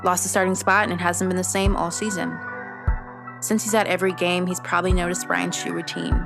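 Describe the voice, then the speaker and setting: A young woman playing an earnest, analytical teenage girl detective, narrating a scripted audio drama in a measured, matter-of-fact way. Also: lowish voice, level tone, vocal fry. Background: prominent music.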